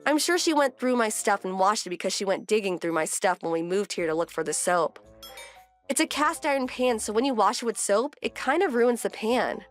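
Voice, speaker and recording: A woman's voice: mid-range voice, expressive, fairly quick, soft background music, lightly acted. A woman playing a composed, wry wife who narrates her domestic story in a scripted manga dub. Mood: matter-of-fact.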